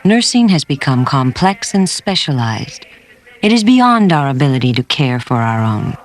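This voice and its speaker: A man's voice: mid-range voice, very animated, normal speed. A man reading scripted narration for a documentary.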